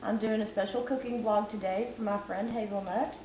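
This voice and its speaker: A woman's voice: medium pitch, steady tone, brisk, mellow. A woman who is losing her voice gives a chatty, self-deprecating cooking tutorial at home. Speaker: vlogger. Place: home kitchen at night. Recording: echoey kitchen, far from camera, background noise.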